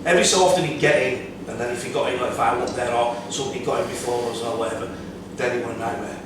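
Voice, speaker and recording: A middle-aged man with a Yorkshire accent, chatting as a podcast guest, telling quick anecdotes about his years on club doors and running events security. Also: mid-range voice, fairly even intonation. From Yorkshire, England. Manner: quick anecdotal storytelling with many yeahs. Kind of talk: casual podcast chat. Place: bar lounge, seated on a sofa. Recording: echoey room from far off, background hum.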